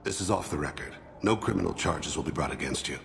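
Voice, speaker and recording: An actor voicing a solemn male alien assassin in a video game, with measured, formal and poetic diction. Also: a bass voice, gravelly, fairly bright tone, a little hiss or hum, heavily acted. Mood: calm, measured. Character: soft-spoken, solemn male alien assassin, remorseful father.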